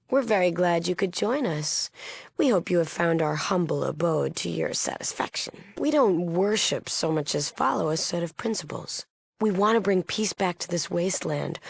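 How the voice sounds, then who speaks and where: Low, gravelly resolute female leader. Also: dry recording. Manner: earnest, formal, firm. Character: earnest, resolute female leader of wasteland peace movement. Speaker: game character. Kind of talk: scripted video game dialogue.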